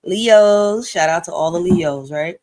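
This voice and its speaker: Blunt, fairly high-voiced man in his thirties. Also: slow pace, darkish tone, no room sound, studio quality. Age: 30s. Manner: blunt, profane, thinking aloud with rhetorical questions. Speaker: reaction video host. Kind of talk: casual reaction commentary.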